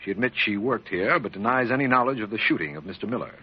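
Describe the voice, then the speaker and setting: An actor playing a brisk, businesslike district attorney in an old-time radio drama, speaking in a clipped, official, emphatic way. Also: male voice, lowish voice, animated, husky. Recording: dull, lo-fi vintage radio recording.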